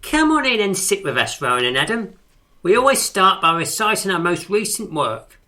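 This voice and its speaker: An assured, courteous man in a radio drama, leading a poetry circle. His voice is bright and forceful with lively swings in pitch, formal and authoritative. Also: moderate pace, exaggerated voice. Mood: welcoming.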